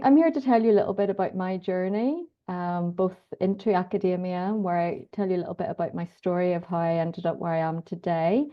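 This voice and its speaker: A woman's voice: lowish voice, dull audio. A middle-aged woman with a Northern Irish accent, giving an informal personal presentation as a guest academic speaker. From Northern Ireland.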